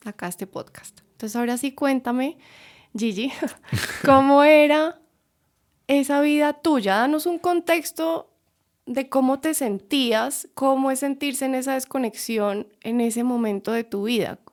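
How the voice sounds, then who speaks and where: Higher-voiced woman podcast host. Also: a little slow. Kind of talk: interview questions.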